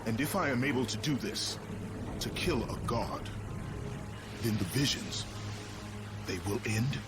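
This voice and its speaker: An actor playing a vengeful, tormented Greek warrior in a video game, gravelly and intense. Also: male voice, mid-range voice, measured pace, lightly acted. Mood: grave, questioning. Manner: intense, brooding, forceful declarations. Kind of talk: dramatic video game acting. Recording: loud music over the voice.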